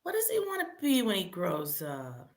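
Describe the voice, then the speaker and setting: A woman hosting a live read-aloud quiz for children in an animated, encouraging way, calling out to participants and repeating questions. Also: mid-range voice, normal speed.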